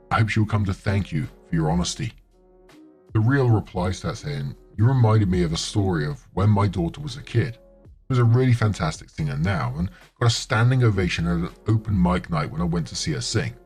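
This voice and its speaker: Male narrator, very low voice, reads online stories, soft music bed. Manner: reads commenters' posts aloud in an even, conversational storytelling tone. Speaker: narrator reading forum posts. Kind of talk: scripted narration. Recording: faint music underneath.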